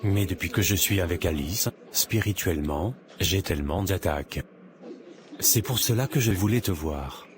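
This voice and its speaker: A man's voice: deep voice, animated, moderate pace, noisy background. A man voicing a devout, steadfast young suitor who speaks earnestly in a cartoon. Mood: troubled.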